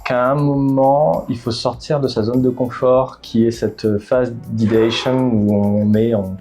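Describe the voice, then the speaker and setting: A man's voice: medium pitch, fairly even intonation, measured pace, music in the background. A middle-aged man from Bordeaux, a startup founder answering interview questions, hesitant, with many fillers and restarts. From Bordeaux, France.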